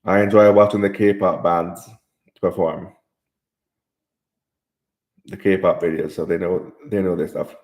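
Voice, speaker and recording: Guy from jamaica reading chat comments out loud on his stream, low dark voice, echoey room. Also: little pitch movement, slow, long pauses. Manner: reads viewer comments aloud and answers them. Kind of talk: casual chat.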